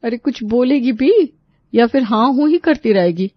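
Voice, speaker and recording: A woman's voice: on the high side, normal speed, no echo, dull audio, lightly acted. A woman voicing a gossipy, dramatic older mother-in-law type in an acted audio story. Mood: nosy, chiding. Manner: complaining, dramatic. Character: exasperated, gossipy older Indian mother-in-law and her aunty friend.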